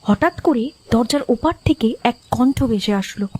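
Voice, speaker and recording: A woman's voice: on the high side, animated, moderate pace, a little mellow, husky. A woman giving scripted narration of a ghost story as its narrator.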